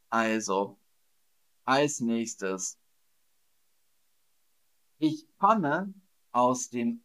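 A man teaching German learners with a riddle quiz, speaking slowly and deliberately, repeating each phrase and laughing at his own slips. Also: medium pitch, a bit bright, poor audio. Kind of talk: slow, repetitive quiz lesson for learners.

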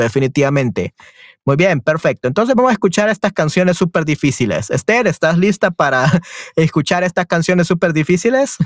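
Male online language teacher, higher voice, close dead-dry mic, repeats "yes, definitely" style affirmations. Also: no room sound. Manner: switches between Spanish and English, repeats affirmations. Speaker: Spanish teacher. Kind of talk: online group class, reading and quizzing. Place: online class, talking to students.

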